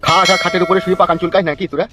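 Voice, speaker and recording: Man playing a blustering, fast, high-voiced cartoon politician. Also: fairly even intonation, natural voice. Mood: angry, scolding. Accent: rural West Bengal. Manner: blustering, stammers when agitated. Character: bullying, foul-mouthed, hot-tempered politician father. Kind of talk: comic cartoon dub. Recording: dull lo-fi sound over music.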